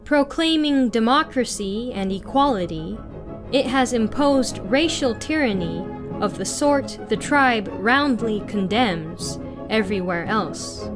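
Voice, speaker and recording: A young woman reading a written article aloud as narration, in a deliberate, formal manner. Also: fairly high pitch, animated. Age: young adult. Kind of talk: scripted read-aloud narration. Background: music.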